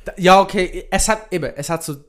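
A man's voice: high-pitched, quick pace, a bit bright. A young man with a Swiss accent chatting casually as a podcast co-host, using youthful slang mixed with English expressions. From Switzerland. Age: young adult. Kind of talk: casual chat.

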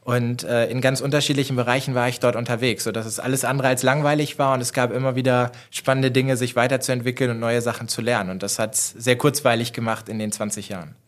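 Brief: male guest, 40s, German accent, measured and reflective, pauses with "ähm", mid pitch. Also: steady tone. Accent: German (northern German). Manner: thoughtful, many fillers and restarts. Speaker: managing director, guest. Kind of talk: conversational interview answers.

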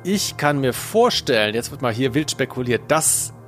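A man's voice: mid-range voice, sing-song, normal speed, fairly bright tone, faint music behind. A man co-hosting a podcast in casual chat, thinking aloud as he works through his answers. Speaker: podcast co-host and quiz contestant.

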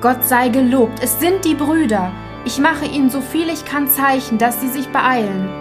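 A woman's voice: sits high, moderate pace, music in the background, voice pushed up, natural voice. A woman voicing a watchful young sister in a fairy tale, calling out in a lilting way from a tower. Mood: excited, relieved. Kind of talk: dramatised fairy tale acting.

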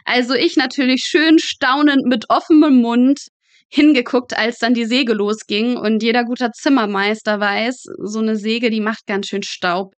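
A woman's voice: fairly high pitch, steady tone, a little slow, no echo. A woman in her twenties, a student guest in an interview, telling anecdotes in a humorous, vivid, colloquial way. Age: 20s. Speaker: guest, medical student working in a pathology practice. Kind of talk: lively interview storytelling.

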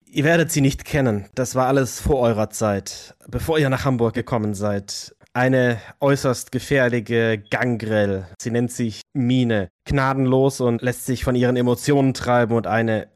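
Guy doing a bitter worked-up vampire, mid pitched, emotional halting delivery, audio drama. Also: close to an everyday voice. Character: embittered, agitated male vampire hunting anarchs. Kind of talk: German audio drama role-play acting.

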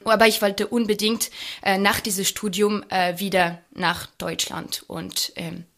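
A young woman from France with a French accent, a podcast guest who speaks warmly and hesitates often. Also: mid-range voice, little pitch movement, a little slow. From Champagne, France. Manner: warm, hesitant, many fillers. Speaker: guest, champagne brand ambassador. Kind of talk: podcast interview.

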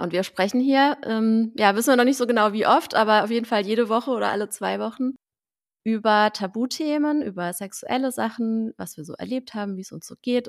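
Podcast host, woman, lively casual talker with lots of fillers and laughs. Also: mid-range voice. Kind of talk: casual chat.

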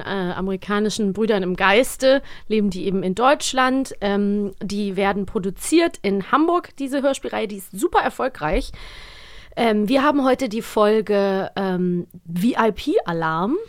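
A woman's voice: medium pitch, unhurried, dry recording, clean studio sound. Chatty German woman co-hosting a podcast. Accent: standard German. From Rhineland, Germany. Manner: chatty, explanatory. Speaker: podcast host; voice actor. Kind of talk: casual podcast chat about audio dramas.

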